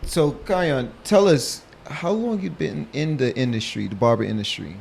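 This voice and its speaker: A man with an American accent hosts a casual interview in a mid-pitched voice. He talks in familiar slang and puts questions to his guest. There is faint noise behind him. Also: animated, normal speed, vocal fry, darkish tone. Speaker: show host.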